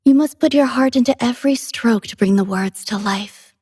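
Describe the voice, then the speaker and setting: A woman playing a gentle, poetic female android companion in a game, soft-spoken and wistful with a breathy voice. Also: on the high side, moderate pace, no echo, studio quality. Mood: patient, instructive. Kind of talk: scripted game character voice lines.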